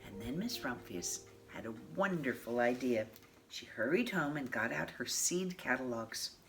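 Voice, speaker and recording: A woman narrating a children's picture book in a measured, expressive storytelling manner. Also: a bass voice. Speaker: storybook reader. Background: instrumental music.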